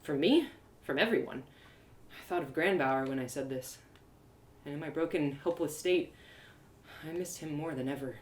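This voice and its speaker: A woman reading a first-person fantasy story live, in an expressive, confiding, dramatized narration. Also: sits low, moderate pace, pauses often. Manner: expressive, confiding, acted-out delivery. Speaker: storyteller and audio drama performer.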